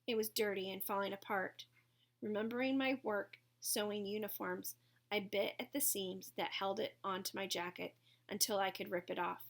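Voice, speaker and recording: A woman's voice: medium pitch, fairly even intonation, normal speed, vocal fry. A woman narrating a first-person story from a written text, as a reader of an audiobook.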